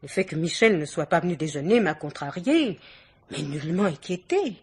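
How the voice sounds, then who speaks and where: An actress playing an imperious, chattering middle-aged society hostess in a theatrical, exclamatory French radio mystery play. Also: female voice, lowish voice, sing-song, moderate pace, slightly rough. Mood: affronted.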